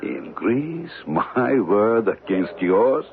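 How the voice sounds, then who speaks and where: A suave menacing crook, real low voice, formal and deliberate, swoops around, old radio drama. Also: male voice, very animated, gravelly, exaggerated voice. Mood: smooth menace. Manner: formal, deliberate, precise phrasing. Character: menacing, suave criminal man with cold courtesy. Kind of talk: 1970s radio drama acting. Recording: muffled, noisy old radio broadcast.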